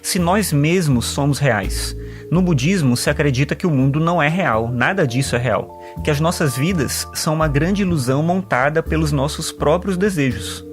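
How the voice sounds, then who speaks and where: A man's voice: on the high side, moderate pace, soft background music, lightly acted. A man playing an earnest talkative philosopher. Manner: earnest, rambling, fond of quoting thinkers. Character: talkative male philosopher and sociology teacher. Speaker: patient. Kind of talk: audio drama acting.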